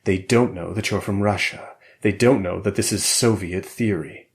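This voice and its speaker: A man's voice: fairly low pitch, normal speed, lightly acted. A man voicing a blunt male covert operative giving urgent warnings, in a spoken story.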